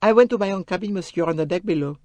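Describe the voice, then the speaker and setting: A man's voice: sits high, rapid-fire, a little mellow, dry recording, pitched above the performer's own voice, lightly acted. A man voicing a young French lady's maid with a French accent, talking in a pleading, emphatic way with broken English phrasing. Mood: evasive. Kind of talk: audiobook character voice.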